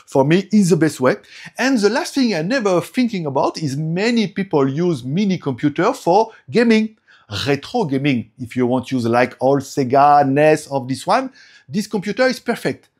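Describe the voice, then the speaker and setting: A man from France with a strong French accent, native French, speaking English in a loose, broken way. His voice sits a little high for a man, and he chuckles between phrases while reviewing tech gear. He sounds like a friendly home vlogger. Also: normal speed. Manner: lively, laughs often, broken English. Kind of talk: casual product review.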